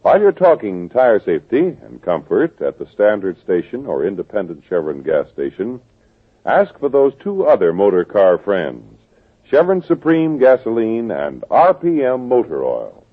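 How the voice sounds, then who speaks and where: A man announcing scripted commercials and credits on an old radio show in a smooth, persuasive way. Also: on the low side, huge pitch swings, moderate pace. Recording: tinny old radio broadcast with music.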